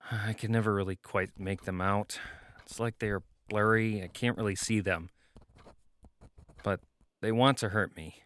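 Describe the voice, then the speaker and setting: An audio drama protagonist, acted by a man, earnest and unsure of himself. His slightly low voice is natural and hesitant, weighed down by self-doubt. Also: fairly even intonation, moderate pace, fairly bright tone, close to an everyday voice. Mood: frustrated, downcast. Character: troubled, earnest young male hero who doubts himself.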